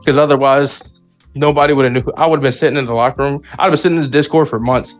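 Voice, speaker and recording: A man's voice: medium pitch, steady tone, quick pace, soft background music. A man from the United States chatting casually as a podcast guest, cocky and joking, often repeating himself. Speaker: podcast guest, sim league player. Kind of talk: casual chat.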